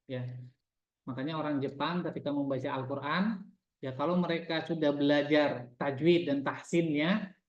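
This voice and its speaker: A man teaching Arabic in a classroom, chatty and repetitive, quizzing his students as he goes. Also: on the high side. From Indonesia. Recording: echoey room, dull lo-fi sound.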